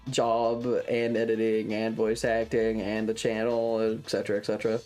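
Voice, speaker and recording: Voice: mid-range voice, monotone, moderate pace, a little mellow, faint music behind. A young adult streamer chatting casually to viewers in a hesitant, rambling way that often repeats phrases. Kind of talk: casual livestream chat.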